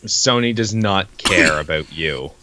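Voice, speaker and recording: A man's voice: low-pitched, animated, measured pace, sharp tone. A man co-hosting in casual banter, blunt and given to swearing. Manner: blunt, profane. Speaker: co-host.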